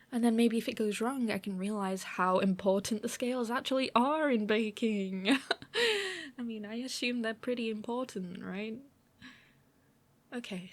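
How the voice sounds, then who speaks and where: High-voiced, playful young woman roommate, acted by a woman. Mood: playful, unsure, then muttering, puzzled. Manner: chatty, self-deprecating, hesitant, often giggly, murmuring to herself. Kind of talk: girlfriend ASMR audio roleplay.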